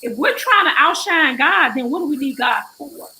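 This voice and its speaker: A woman's voice: high-pitched, expressive, normal speed, sharp tone, a little hiss or hum. A woman guest on a live online talk show, giving her testimony in an emphatic, preacherly way that rises into exhortation.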